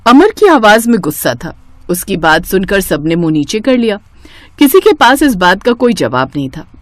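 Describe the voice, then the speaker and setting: A woman narrating a scripted story in an expressive storytelling manner. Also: medium pitch, normal speed, no echo, studio quality.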